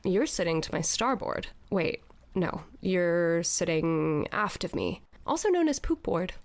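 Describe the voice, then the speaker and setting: A woman's voice: fairly low pitch, animated, a little slow, no echo. Dry-miked, wisecracking sceptical young woman. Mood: playful. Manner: incredulous, colloquial, joking. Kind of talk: scripted audio drama acting.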